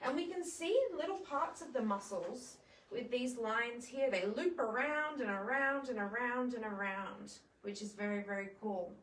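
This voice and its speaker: Woman doing kids storytime, high voice, simple explaining with questions, echoey room. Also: normal speed, fairly bright tone, a little hiss or hum. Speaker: children's storytime presenter. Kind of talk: children's storytime with songs and read-alouds. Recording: roomy echo, distant microphone.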